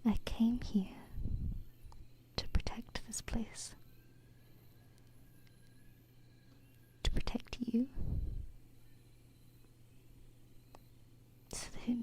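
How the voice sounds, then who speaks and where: A woman voicing a gentle, wistful alien princess in a soft, hesitant whisper for an ASMR roleplay, close to a binaural mic. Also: a little high, flat delivery. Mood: wistful, worried. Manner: hesitant, tender, slow pauses. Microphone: close binaural microphone.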